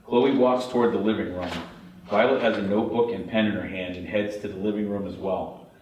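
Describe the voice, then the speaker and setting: A man's voice: on the low side, fairly even intonation, a little fast, darkish tone, highs cut off. A man reading stage directions as the narrator of a screenplay table read. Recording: very echoey room, distant, faint noise.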